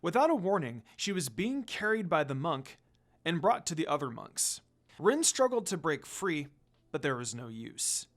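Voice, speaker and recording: A story narrator with very lively, swinging intonation and a medium pitch. The reading is scripted and dramatic, telling fan fiction. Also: moderate pace.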